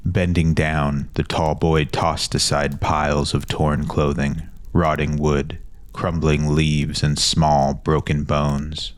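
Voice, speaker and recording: A man narrating a scripted fantasy audio story in a measured, descriptive and dramatic manner. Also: very deep voice, dry recording.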